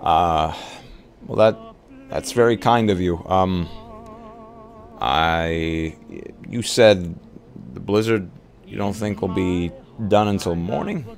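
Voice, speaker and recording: A man taking part as a player in an improvised roleplay, speaking casually in a hesitant, halting way. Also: low-pitched, vocal fry. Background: music.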